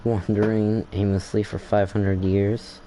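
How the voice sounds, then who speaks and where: A man's voice: on the low side, level tone, measured pace, warm tone, rough recording. A man giving casual let's play commentary, musing aloud in a hesitant way. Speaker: gaming video creator.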